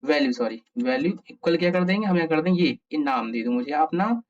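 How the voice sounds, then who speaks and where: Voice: mid-range voice, animated, fast, darkish tone. An Indian presenter giving an informal coding tutorial, thinking aloud and answering their own questions. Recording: muffled, distant microphone.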